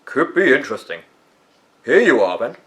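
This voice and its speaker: A man's voice: medium pitch, very animated, moderate pace, a bit bright, voice pushed up. A man voicing a weary, tetchy but kindly eccentric uncle from a storybook, musing and emphatic in his delivery. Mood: curious, earnest. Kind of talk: storybook character voice.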